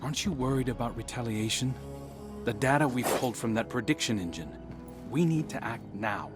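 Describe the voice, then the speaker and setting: An actor voicing a dry, wry young male scout in conversational game dialogue with soft music underneath. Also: mid-range voice, expressive, brisk, lightly acted.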